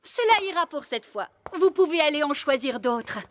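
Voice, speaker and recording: A woman voicing a brisk, friendly rabbit librarian in a French children's cartoon, with music behind her. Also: sits high, big pitch swings, a bit bright. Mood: indulgent.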